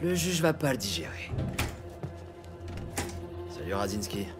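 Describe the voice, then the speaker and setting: A man's voice: fairly low pitch, very animated, a little slow, music in the background. An actor playing a cocky, streetwise male cop in an audio drama, talking informally and tauntingly. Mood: needling. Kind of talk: dramatic audio series acting.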